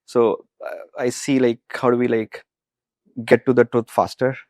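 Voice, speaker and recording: A man's voice: mid-range voice, level tone, measured pace, a little mellow, dry recording, clean studio sound. A man with an Indian accent talking casually as a startup founder in an interview.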